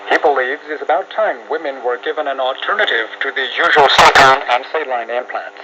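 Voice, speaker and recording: A man's voice: medium pitch, brisk. A man reading scripted news narration as a radio reporter. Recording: muffled shortwave radio, steady hiss. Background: radio static and hum.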